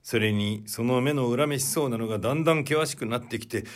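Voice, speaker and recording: A man playing a humble, troubled man in a period literary story, recounting his brother's death politely and in measured phrases. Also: medium pitch, natural voice. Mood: troubled, subdued.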